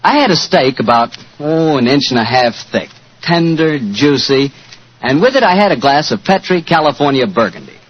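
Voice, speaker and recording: A man's voice: medium pitch, sing-song, moderate pace. A man with an American accent, announcing and reading sponsor copy as a 1940s radio host. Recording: dull lo-fi 1940s radio recording.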